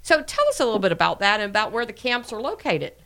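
A woman's voice: medium pitch, sing-song, fast talker, sharp tone. A middle-aged woman hosting a casual radio interview, chatty and full of repeated words and fillers. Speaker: host.

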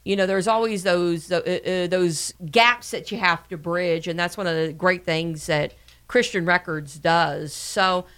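A woman's voice: on the low side, fairly even intonation, a little slow, fairly bright tone. A middle-aged woman hosting a casual radio interview, chatty and full of repeated words and fillers. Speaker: host.

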